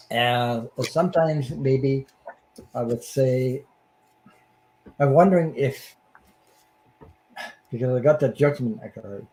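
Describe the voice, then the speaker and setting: A man at a middle pitch reads tarot cards in a relaxed chat. His voice is hoarse and fading, and he pauses often to search for the right words. A faint hum of room noise sits under him. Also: rough recording. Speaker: tarot reader guest. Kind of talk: casual tarot reading chat.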